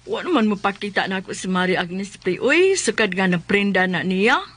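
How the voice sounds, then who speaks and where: A man playing an insistent creditor and family friend, pressing and pleading haltingly in a comic radio drama with a Cebuano accent. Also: sits high, lively intonation, a bit bright, dry recording.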